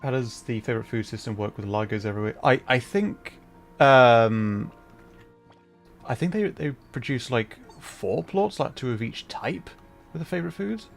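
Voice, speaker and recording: A man's voice: mid-range voice, expressive, moderate pace, vocal fry. Man chatting casually over game music. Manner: hesitant, thinking aloud, rambling explanations. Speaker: streamer. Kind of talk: casual livestream chat while gaming.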